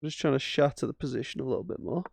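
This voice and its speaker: A man's voice: medium pitch, very fast, vocal fry, darkish tone, dry recording. A man casually commentating his own chess games as he plays, thinking aloud with self-mocking asides. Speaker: chess streamer.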